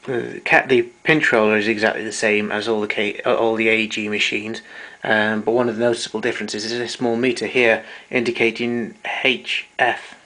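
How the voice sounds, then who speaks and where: A man giving an informal technical demonstration in a hesitant, halting way, as a hobbyist showing equipment. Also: on the low side, level tone, sharp tone, low-quality recording. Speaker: vintage tape recorder enthusiast demonstrating equipment. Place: indoors, small domestic room.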